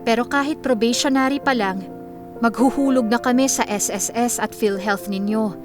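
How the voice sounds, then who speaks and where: A woman's voice: fairly high pitch, normal speed, music in the background, natural voice. A woman playing a kind, polite and businesslike young lady of a wealthy house in a Filipino radio drama. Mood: kindly businesslike.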